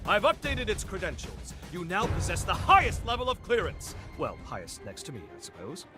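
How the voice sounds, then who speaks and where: An eccentric male revenant researcher for a video game. His voice sits high and swings widely in pitch, with a pompous, professorial flourish. The delivery is florid and theatrical, with music playing behind it. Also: wildly swooping pitch, a little fast. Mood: musing, haughty. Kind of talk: video game character acting.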